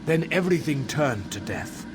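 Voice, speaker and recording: Dutiful temple spy for a fantasy game, formal, deferential, expressive, clear ambient noise behind. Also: male voice, a little high, moderate pace. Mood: remorseful, grave. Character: grave, dutiful male temple spy, humbly loyal. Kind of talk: scripted fantasy game dialogue.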